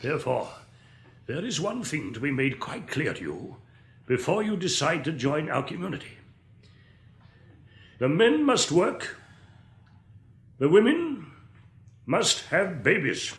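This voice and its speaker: Uncompromising professor lecturing, slow and highish voice, didactic, radio drama, roomy echo. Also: male voice, sing-song, full character voice. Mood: stern, emphatic. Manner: deliberate, emphatic, didactic. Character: stern, uncompromising male sociology professor lecturing survivors. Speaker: sociology professor giving a speech. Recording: roomy, echoing lecture hall.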